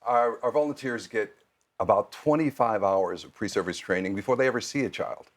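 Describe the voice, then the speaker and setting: A man over fifty giving measured, articulate explanations as an interview guest. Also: fairly low pitch. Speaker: nonprofit program leader, interview guest.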